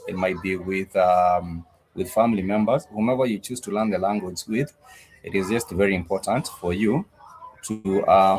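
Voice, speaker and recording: A man's voice: deep voice, darkish tone, slight background noise, poor audio. A man teaching a live online language class, patiently repeating each phrase several times.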